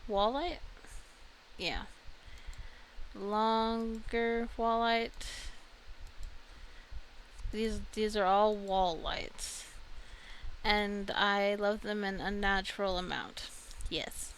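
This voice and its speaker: A woman's voice: medium pitch, steady tone, very slow, pauses often, a bit bright, a little hiss or hum, rough recording. A woman giving casual video game commentary, chatting and thinking aloud as she reacts to what she finds. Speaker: video game streamer and host.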